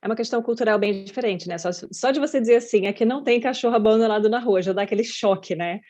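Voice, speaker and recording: A woman's voice: a little high, fast talker, a little mellow. A Brazilian woman hosting an interview, asking long, chatty questions. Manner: chatty, rambling questions with frequent "né". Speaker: podcast host. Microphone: video call. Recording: slightly dull lo-fi audio.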